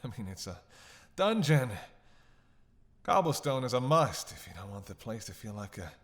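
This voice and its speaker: A man's voice: medium pitch, very animated, moderate pace. A man playing a snarky, defiant captive vampire in a roleplay audio drama, sarcastic, teasing and theatrical, with a drawl. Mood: mocking.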